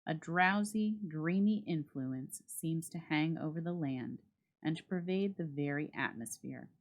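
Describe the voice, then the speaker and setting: A woman reads a literary story aloud as a dramatic narrator, with measured, expressive phrasing. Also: sits low. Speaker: library staff reader and host.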